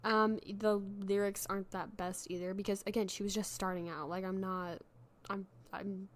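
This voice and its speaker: A woman's voice: medium pitch, little pitch movement, moderate pace, slight background noise. A young woman hosting a casual solo podcast, chatty and self-deprecating, with many fillers and restarts, her voice worn from being sick. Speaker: podcast host.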